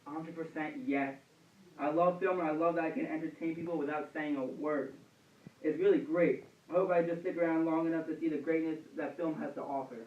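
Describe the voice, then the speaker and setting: Man playing 1920s movie star, cheerful, self-assured, rapid, roomy distant recording. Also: a little high, fairly even intonation, muffled recording, natural voice. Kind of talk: scripted mock radio interview. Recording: echoey room, distant mic, dulled.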